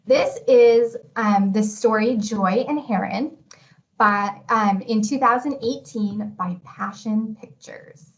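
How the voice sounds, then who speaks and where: A woman's voice: on the high side, slow. A woman presenting an instructional webinar as an educator, guiding listeners with open-ended questions. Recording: dull lo-fi webinar audio.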